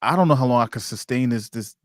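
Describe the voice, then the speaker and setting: A man with a medium-pitched voice hosts a casual interview. He is conversational and affirming toward his guest. The recording is dry and free of room echo. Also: fast talker. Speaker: podcast interviewer, host.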